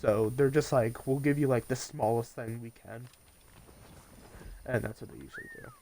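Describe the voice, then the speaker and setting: A man's voice: mid-range voice, normal speed, creaky, mellow, slight background noise. A teenage male streamer with a congested, nasal voice, chatting casually and rambling as he plays. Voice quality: nasal, congested from post-nasal drip. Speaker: video game streamer. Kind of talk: casual chat while gaming on a livestream.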